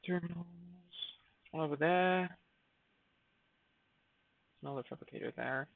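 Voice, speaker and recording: A man's voice: on the high side, measured pace, gravelly, no room sound. A man giving casual live commentary while streaming a game, thinking aloud with hesitant fillers. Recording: dry, phone-like narrow sound.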